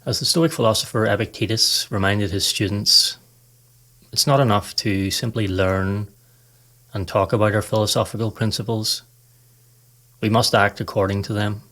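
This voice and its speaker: A man narrating a scripted guided meditation in a calm, measured, instructive way. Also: on the low side. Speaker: podcast host and meditation guide.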